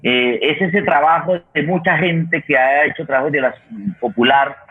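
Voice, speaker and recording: A middle-aged man with a Colombian accent, hosting a radio forum with halting, deliberate, reflective explanations as he searches for words. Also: on the high side, slightly rough. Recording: thin, phone-like remote line.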